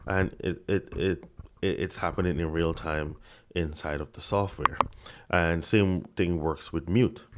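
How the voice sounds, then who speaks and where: A man's voice: low-pitched, background noise. A man giving a casual tech review at his desk, talking into a desk microphone, often stuttering and repeating words. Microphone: desk microphone under review.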